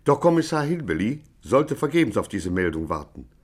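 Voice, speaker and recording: An actor as the wry narrator, telling a mock-heroic gangster tale with solemn deliberation in a 1950s German radio play. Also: male voice, medium pitch, very animated, brisk, slightly rough. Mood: dry, ironic.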